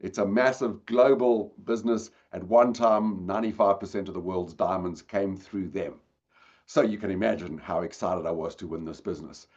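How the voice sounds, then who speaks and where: A man's voice: deep voice, fairly even intonation, normal speed, darkish tone, muffled recording. A man with a South African accent giving a webinar talk, addressing the audience directly and engagingly. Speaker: guest speaker. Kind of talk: webinar presentation. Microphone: video call.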